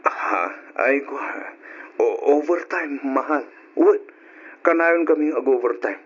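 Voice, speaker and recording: A man's voice: fairly high pitch, slow pace, a bit bright, husky, heavily acted. An actor playing an evasive two-timing husband caught out in an Ilocano radio drama, stammering and stalling as he talks. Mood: evasive, nervous. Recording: dull old radio drama recording.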